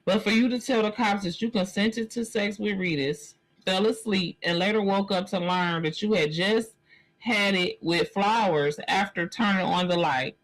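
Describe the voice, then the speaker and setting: A woman's voice: medium pitch, moderate pace, poor audio. A woman in her forties giving blunt, emphatic commentary on a live stream, speaking straight to the viewer as "sis". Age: 40s. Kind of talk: casual commentary on a news story.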